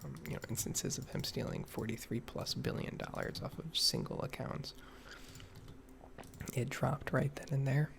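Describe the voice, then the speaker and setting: A man giving a halting, rambling ASMR gaming update in a hushed, breathy, mostly whispered voice, slightly nasal from getting over an illness. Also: mid-range voice, steady tone, noisy background. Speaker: gaming ASMR video creator.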